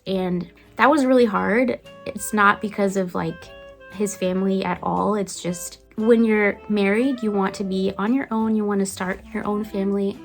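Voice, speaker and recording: A young woman with a faint Brazilian accent chats casually to her vlog audience at a middle pitch. She sounds upbeat and talkative, with easy restarts, and quiet guitar music plays underneath. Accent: slight Brazilian. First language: Brazilian Portuguese. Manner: chatty and upbeat, with light laughs and small restarts. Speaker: lifestyle vlogger. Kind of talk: casual get-to-know-me chat.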